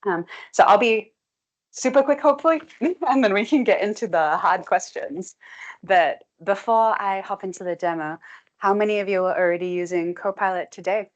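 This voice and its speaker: A young woman giving a tech talk to a meetup audience, brisk and chatty with frequent "um" and "like". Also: mid-range voice, muffled recording. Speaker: guest speaker, technical presenter. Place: meetup room with a live audience.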